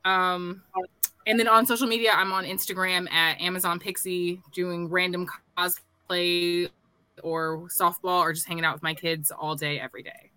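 Guest, woman, lowish bright voice, eager, dry close sound. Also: little pitch movement, moderate pace, smooth, no echo. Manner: upbeat, eager. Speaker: podcast guest. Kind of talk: casual group chat.

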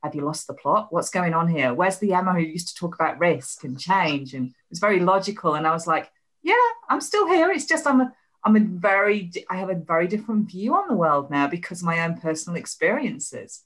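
A woman in her fifties from England, speaking with an English accent softened by years in Australia, chatting animatedly as an interview guest. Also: on the low side, very animated, fairly quick. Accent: English with some Australian. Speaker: guest, healing coach. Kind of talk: casual interview chat.

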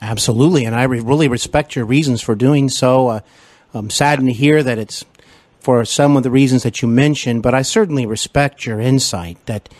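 A man's voice: mid-range voice, a little fast, darkish tone, dry recording. Courteous American man hosting, close dry sound. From Cincinnati, Ohio, United States. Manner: courteous, effusive, wordy. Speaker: podcast host and interviewer. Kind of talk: conversational interview.

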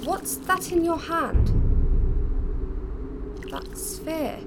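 An actress playing a young alien princess, an urgent and emotional daughter, in a sci-fi audio drama. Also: female voice, very high voice, expressive, extremely slow, vocal fry, background noise, full character voice. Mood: urgent, exasperated.

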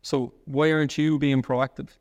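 A man in his thirties with a soft Irish accent, talking earnestly in an interview, often restarting and using "like". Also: mid-range voice, level tone, long reverb tail. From Ireland. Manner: earnest, quick, with restarts and "like" fillers. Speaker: guest. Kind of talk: conversational interview.

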